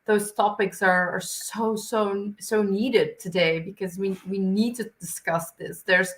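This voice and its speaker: A woman's voice: medium pitch, steady tone, measured pace. A woman speaks as a podcast guest, earnest and candid, working through her thoughts openly. Her voice sits in an ordinary middle register. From Belgium. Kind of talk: casual interview talk.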